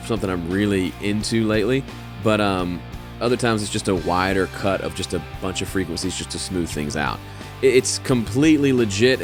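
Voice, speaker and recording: A man with a slightly nasal voice, hosting a show and casually answering listener questions from his home studio. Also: on the low side. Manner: relaxed, conversational, with fillers and restarts. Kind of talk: casual advice talk.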